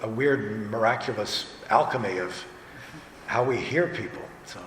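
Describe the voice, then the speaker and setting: A man's voice: lowish voice, a little slow, fairly bright tone. A man speaking as a director on a casual convention panel, rambling along with trailing asides. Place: convention panel room, indoors. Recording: echoey hall, noisy room ambience.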